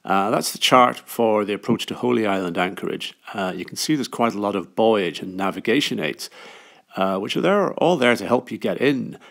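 A man giving a relaxed, hesitant voice-over about sailing, with many ums and uhs. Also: fairly low pitch, normal speed, gravelly. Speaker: sailing vlogger. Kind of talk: casual voice-over narration. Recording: voice-over with music behind.

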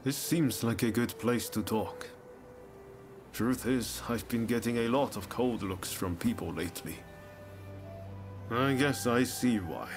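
A male actor voices a bold, friendly young ronin in a video game. He is an idealist who talks in a casual, earnest way and encourages others, at a medium pitch for a man. Music plays clearly behind him. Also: a little slow, pauses often, natural voice. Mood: reflective, resolute. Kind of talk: video game cutscene acting.

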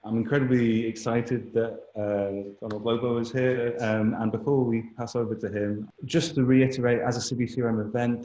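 Guy in his 40s opening an online event, mellow voice, echoey room, informal. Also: on the low side. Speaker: event organizer opening the session. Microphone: computer mic on a video call. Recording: echoey room, dull video call audio.

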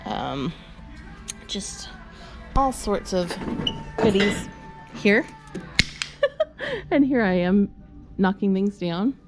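A woman giving a chatty, upbeat walk-through of her booths in a large indoor antique mall, recorded on the camera she carries. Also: lowish voice. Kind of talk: walk-through tour vlog.

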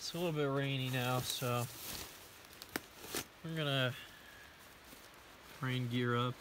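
A man's voice: mid-range voice, fairly even intonation, slow pace. A man in his twenties talking casually and a little hesitantly as he walks, vlogging into a handheld phone outdoors. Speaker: hiking vlogger. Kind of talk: casual rambling vlog commentary while walking. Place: outdoors on a forest railroad trail. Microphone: phone camera at arm's length. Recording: noisy outdoor handheld recording.

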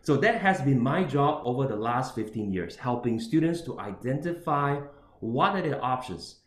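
A man's voice: medium pitch, expressive, moderate pace, reverberant. A middle-aged man from Singapore, giving a webinar-style presentation as an international student advisor and often ending points with "all right?". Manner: repetitive tag questions, emphatic. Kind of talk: webinar presentation on choosing universities. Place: indoors with a virtual background.

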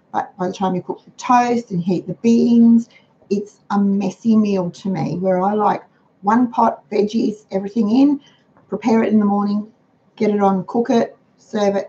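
An older Australian woman talks in a homely, chatty way, her voice hoarse and croaky. The tone is mellow and dark rather than bright. It comes through a dull, lo-fi webcam with a faint hiss underneath. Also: steady tone, moderate pace. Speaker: host of a frugal living livestream. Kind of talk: casual livestream talk. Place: home dining room. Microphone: webcam on a computer. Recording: dull low-fi webcam sound with faint noise.

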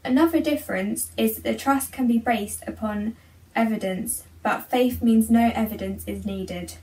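A woman's voice: on the high side, normal speed, a little mellow, far from the mic, poor audio. A teenage girl reading a prepared talk aloud from a sheet in a room at home.

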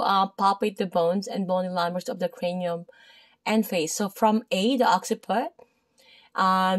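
A woman's voice: medium pitch, a little mellow, no echo. Filipino woman tutoring, dry close sound. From Philippines. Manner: hesitant, many fillers and self-corrections. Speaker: massage therapy tutor. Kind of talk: casual study walkthrough of a textbook page. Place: indoors at a desk. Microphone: phone camera overhead, speaker off screen.

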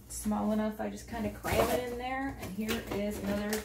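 A woman's voice: mid-range voice, steady tone, fairly quick, creaky. Woman in her thirties, chatty how-to demo, echoey room, heard from a distance with faint room noise. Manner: chatty and upbeat, moving around while handling bags. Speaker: home organizer. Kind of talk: casual how-to demonstration. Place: home entryway with hardwood floor, indoors. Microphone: camera mic at a distance.

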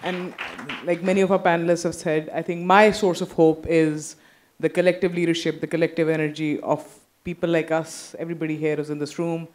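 A woman moderates a panel on a conference stage, briskly prompting and thanking speakers. Her handheld microphone carries a faint hiss beneath the voice. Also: sits low, little pitch movement, darkish tone, some chatter behind.

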